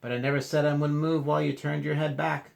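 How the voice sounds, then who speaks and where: A man's voice: fairly high pitch, level tone, quick pace, creaky, pitched above the performer's own voice. A man voicing a grumpy, tricky male leprechaun from a children's storybook, curt and teasing. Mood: smug.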